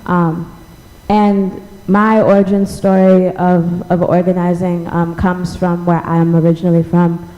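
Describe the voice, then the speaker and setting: A woman's voice: fairly low pitch, flat delivery, a little slow, dull audio. A woman activist telling her personal story to a public audience as a panel speaker. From Cambridge, United States, born in Ethiopia. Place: indoor public library event hall. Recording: reverberant hall.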